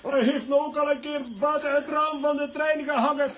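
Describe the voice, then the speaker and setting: An actor the playing a boastful, excitable, cowardly comic man who stammers when flustered, in a children's audio drama. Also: extremely high pitch, a little fast, soft background music. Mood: blustering.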